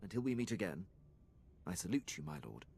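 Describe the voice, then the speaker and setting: A man's voice: sits low, very animated, a little fast, gravelly, a little mellow, a little hiss or hum, full character voice. An actor playing an earnest, loyal Imperial officer in a video game, formal and precise in his deference. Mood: deferential. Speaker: game character, military officer.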